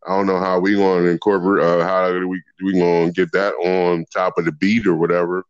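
Casting: relaxed podcast co-host, lowish voice, slangy drawl, dull lo-fi sound. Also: male voice, clear, resonant tone, no echo. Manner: laid-back, slangy, drawn-out speech. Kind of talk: casual banter.

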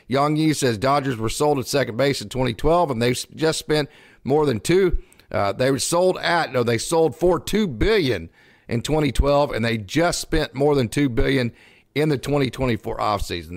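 A man's voice: medium pitch, no room sound. Effusive man hosting live sports talk, close-sounding. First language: bilingual English and Spanish. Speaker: live show host reading viewer messages.

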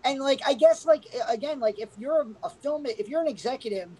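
A woman's voice: high-pitched, brisk, warm tone, rough recording. A woman casually reviewing a movie as a podcast co-host, rambling with many "like"s. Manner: rambling, full of "like"s, laughs.

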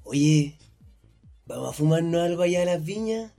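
A joking, party-loving young Chilean in a radio drama. His voice runs a little high and his talk is slangy and teasing. Faint music plays behind him. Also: lively intonation, normal speed, gravelly, dark tone. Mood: chilly, casual. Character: joking, rowdy young small-town man out partying. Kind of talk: naturalistic radio drama acting.

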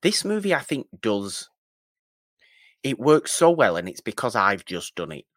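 Guy talking movies on a podcast, really slow n halting, stresses stuff, dry close audio. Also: medium pitch, sing-song, a bit bright, no room sound. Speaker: podcast co-host. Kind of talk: casual film discussion.

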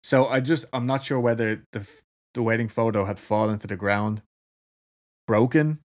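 A man's voice: on the low side, moderate pace. A man with an Irish accent casually telling a story to a co-host, laughing often and swearing freely. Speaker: podcast co-host.

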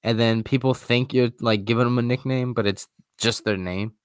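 Laid-back young man, smooth and dry. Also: medium pitch, steady tone, normal speed, dry recording. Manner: laid-back, slangy, laughs often. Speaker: podcast co-host. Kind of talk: casual chat.

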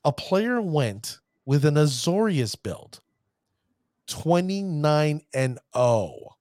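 A fairly high-voiced man runs a casual card-game show, pumping up his shout-outs with showman phrases. He stumbles over names and pushes on. The recording is dry and close with no room sound. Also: very animated, slow, no echo. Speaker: podcast host. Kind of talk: hype-filled casual show talk.

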